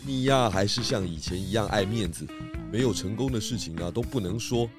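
Man as a deep-voiced shrewd pig detective. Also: brisk, over music, lightly acted. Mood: teasing. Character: shrewd, unhurried middle-aged male pig detective, gently teasing. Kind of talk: children's detective audio drama.

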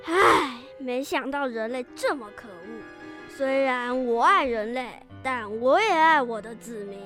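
A woman's voice: high voice, animated, measured pace, bright, forceful tone, faint music behind, exaggerated voice. A woman voicing a stern, protective dragon king of the sea in a children's radio play, solemn and declamatory. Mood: outraged.

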